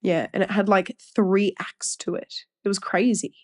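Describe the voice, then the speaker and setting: A woman's voice: medium pitch, little pitch movement, moderate pace. An Australian woman co-hosting a casual podcast, her voice nasal from a cold, playful and teasing as she chats. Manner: playful, teasing, laughs often. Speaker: podcast co-host. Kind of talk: casual chat.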